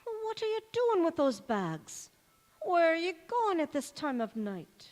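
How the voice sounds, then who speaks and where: Woman playing slow, fretful loyal servant woman. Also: very high-pitched, sing-song, full character voice. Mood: alarmed, scolding. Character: loyal, scolding, sorrowful female household servant. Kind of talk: stage radio-play acting. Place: large reverberant church or hall. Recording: echoey hall.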